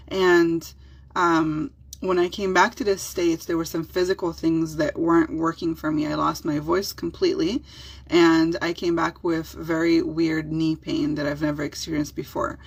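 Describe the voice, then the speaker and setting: A middle-aged woman whose first language is Hebrew, speaking with an Israeli accent and giving a soft, soothing self-help talk as a spiritual healer. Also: on the low side, slightly rough.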